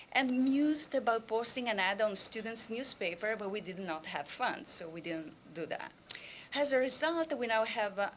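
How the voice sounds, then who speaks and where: A woman presenting at a conference, speaking at an even middle pitch. She talks in measured phrases broken by frequent "uh" and "um" pauses. A faint background hiss sits under the voice. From Italy. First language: Italian. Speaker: Italian language instructor. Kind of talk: conference talk.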